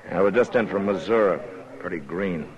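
An American actor playing a stern, weary frontier lawman in a 1950s radio western, plain-spoken and measured. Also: sits low, muffled recording, lightly acted. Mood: grim, reflective. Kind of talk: 1950s radio western acting, partly first-person narration.

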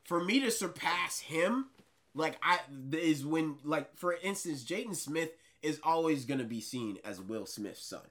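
A young man, an actor, chatting casually with a light voice and animated, excitable bursts of pitch and loudness. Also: unhurried. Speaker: actor guest. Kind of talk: casual chat.

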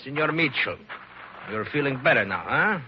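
An actor playing a suave, self-satisfied mountain bandit chief in a 1940s radio drama, husky, with a foreign accent and courtly, formal phrasing. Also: male voice, mid-range voice, lively intonation, moderate pace, pushed and bright, slight background noise. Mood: smug, genial. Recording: muffled 1940s radio recording.

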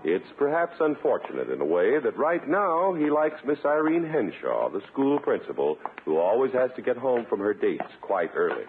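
A man's voice: mid-range voice, big pitch swings, normal speed, few pauses, faint music behind. A man working as a radio announcer, reading scripted introductions and sponsor copy in a polished, emphatic 1950s style. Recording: narrow-band 1950s radio recording.

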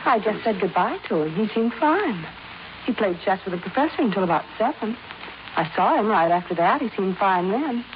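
Actress as poised wife, muffled 1950s radio. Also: female voice, mid-range voice, lively intonation, moderate pace, close to an everyday voice. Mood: reassuring. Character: poised, unworried wife of a wealthy man. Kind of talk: 1950s American radio drama acting. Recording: dull 1950s radio recording, faint noise.

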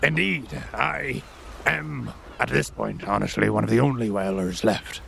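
A man voicing a raspy, blunt male whaling ship captain who talks plainly with old-fashioned phrasing. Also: medium pitch, unhurried, a bit bright, a little hiss or hum.

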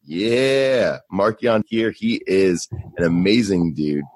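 A man's voice: deep voice, animated, slow pace, darkish tone, no echo. A young adult man hosting a casual podcast interview, laid-back and friendly with his guest. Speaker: podcast host.

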